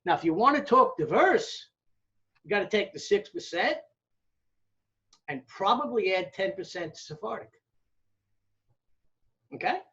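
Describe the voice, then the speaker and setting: A man's voice: sits high, sing-song, unhurried, pauses often, fairly bright tone. An American man explaining things in a conversational interview, emphatic and didactic, often checking in and posing rhetorical questions. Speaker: academic interviewee, demographer. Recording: dull, lo-fi audio.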